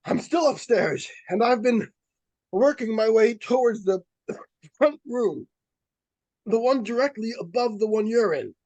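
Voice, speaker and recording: A man playing a pompous, intent doctor investigating the supernatural in an old-time radio suspense play, speaking formally and deliberately. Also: high-pitched, lively intonation, a little mellow, dry recording, low-quality recording. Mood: intent, portentous.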